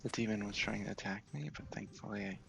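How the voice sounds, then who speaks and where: A man giving casual, understated ASMR gameplay commentary in a breathy half-whisper close to the mic. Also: on the low side, fast talker, fairly bright tone, faint music behind. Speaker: video game player and host.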